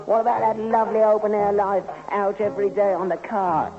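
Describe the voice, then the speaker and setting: A man's voice: high-pitched, level tone, a little fast, loud music behind the voice. An actor playing a grumbling, scornful old London Cockney father in a 1960s radio sitcom. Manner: querulous, scornful. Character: grumbling, mocking, sly old rag-and-bone man father. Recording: dull 1960s radio recording.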